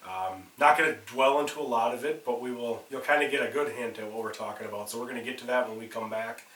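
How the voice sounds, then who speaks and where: A man's voice: medium pitch, quick pace, a bit bright, echoey room, recorded from a distance, rough recording. Stuffy-nosed man co-hosting a horror show. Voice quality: swears casually, trails off in restarts. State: nasal from a cold. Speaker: podcast co-host. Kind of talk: casual chat.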